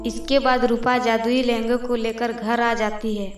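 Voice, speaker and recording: A woman narrates a children's moral tale in a high voice with little melodic movement. She sounds set back from the microphone, reading from a script over music. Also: flat delivery, unhurried. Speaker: storyteller. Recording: roomy, distant voice over music.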